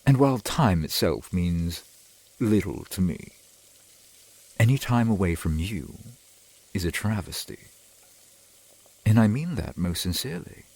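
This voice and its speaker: A man playing a courtly, adoring centuries-old vampire boyfriend in an ASMR roleplay, speaking in formal, old-fashioned phrases with a husky voice. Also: low-pitched, sing-song, unhurried, long pauses, darkish tone, a little hiss or hum, full character voice, low-quality recording. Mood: tender, proud. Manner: formal, archaic, genteel phrasing.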